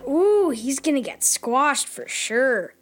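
Outspoken kid adventurer, children's audio drama; high voice, slangy, expressive, light music behind. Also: female voice, takes it slowly, full character voice. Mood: incredulous.

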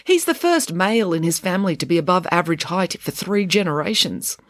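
A woman's voice: lowish voice, animated, brisk, no room sound, clean studio sound, natural voice. A woman voicing an earnest, chatty schoolboy in an audiobook, eager and pleading. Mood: eager, explaining.

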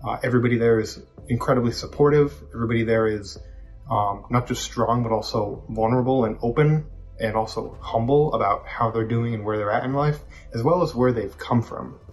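A man's voice: medium pitch, fairly quick, faint music behind. A man giving an earnest testimonial about an event, with some filler words.

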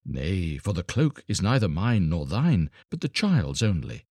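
A kind-hearted fairy-tale woodcutter with a deep, husky voice, speaking earnestly and steadily. A man plays him while reading the tale. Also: very animated, measured pace, warm tone, no room sound, studio quality, exaggerated voice. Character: kind-hearted poor woodcutter, gentle but firm.

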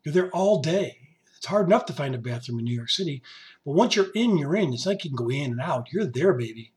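A man hosting his podcast in casual monologue, his voice hoarse and raspy, rambling and joking as he talks to his musician. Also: fairly high pitch, expressive, brisk, darkish tone. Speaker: podcast host. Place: basement home studio.

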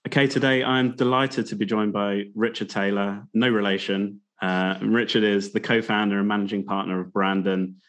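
A man's voice: on the low side, little pitch movement, normal speed, few pauses, a bit bright. A man hosting an interview show, introducing topics and putting questions to guests. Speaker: podcast host interviewing a guest. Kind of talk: scripted introduction and conversational interviewing.

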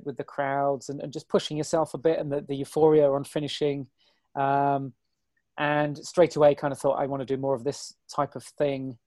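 A man in his forties, a guest on an interview, talking in a chatty, self-deprecating, rambling way with a hoarse, weary voice. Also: medium pitch, a little mellow, no room sound. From Britain. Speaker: guest, ultrarunner. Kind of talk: casual interview chat.

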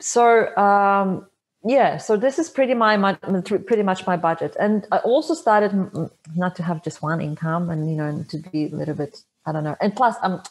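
Chatty Polish-accented woman, low voice. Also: normal speed, poor audio. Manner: chatty, laughs easily, many restarts. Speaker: interview guest, motorcycle traveler. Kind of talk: casual interview chat.

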